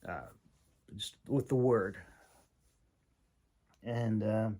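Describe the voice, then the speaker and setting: A man giving a casual, unscripted critique as a host, halting and thinking aloud with long pauses. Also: lowish voice, mellow, slightly rough. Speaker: host critiquing a writer's manuscript.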